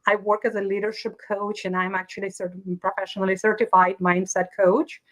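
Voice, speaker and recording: Bright-voiced woman, earnest guest. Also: mid-range voice, flat delivery, fairly quick, poor audio. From Ukraine. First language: Russian. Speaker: guest, leadership coach. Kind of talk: conversational interview.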